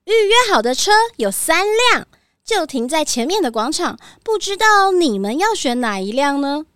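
A woman's voice: sits high, big pitch swings, normal speed, a bit bright, no echo, studio quality. A woman playing a polite young rental clerk with chirpy, sing-song customer-service manners in a children's audio drama.

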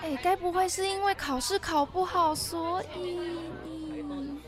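Woman as a very high-pitched teasing classmate. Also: fairly even intonation, measured pace. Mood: teasing, doubting. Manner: blunt, teasing. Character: teasing, doubting young school classmate. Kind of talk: amateur audio drama acting. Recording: crowd chatter behind the voice.

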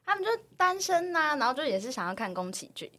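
High, lively Taiwanese woman co-hosting. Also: quick pace. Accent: Taiwanese Mandarin. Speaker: podcast co-host. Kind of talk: casual comedic chat.

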